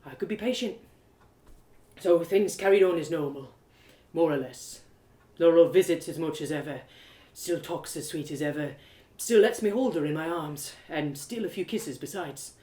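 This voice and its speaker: A woman's voice: deep voice, moderate pace, mellow, natural voice. A woman voicing a heartbroken, earnest young village man who confides in a hesitant, rustic way, for an audio drama. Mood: bittersweet. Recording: roomy echo of home live reading.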